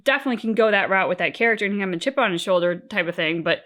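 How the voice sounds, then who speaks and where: A woman's voice: medium pitch, expressive, racing, a bit bright. A woman co-hosting a reaction channel, talking casually and thoughtfully as she searches for words.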